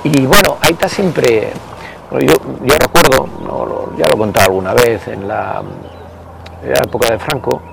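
A man, telling stories as an interview guest, hesitant with many pauses and restarts and some casual swearing. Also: lowish voice, vocal fry. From Basque Country. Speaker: interview guest, party member. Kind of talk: conversational storytelling interview. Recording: loud background noise.

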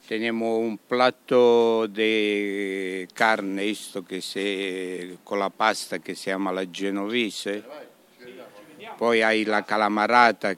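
Slow Italian man with a smooth voice. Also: on the low side, fairly bright tone. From Italy. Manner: halting, mixes Italian words into his Spanish. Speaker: restaurant owner. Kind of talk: radio interview.

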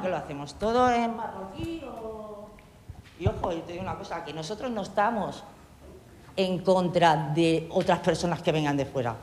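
Combative woman councillor in an echoing hall. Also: medium pitch. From Catalonia, Spain. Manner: combative, sarcastic, full of rhetorical questions. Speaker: town councillor. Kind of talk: heated speech in a council debate.

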